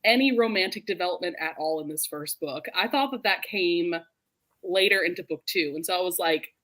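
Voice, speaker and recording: A woman hosting a book discussion in a casual, animated way, full of "like" and quick to laugh. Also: lowish voice. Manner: animated, laughs readily while talking; informal, heavy use of "like". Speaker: host of a book readalong live show. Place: remote group video call.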